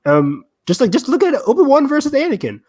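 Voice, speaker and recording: A man's voice: very high voice, wildly swooping pitch, quick pace, a little mellow, no echo. A man talking casually as a panelist in a fan discussion. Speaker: podcast panelist.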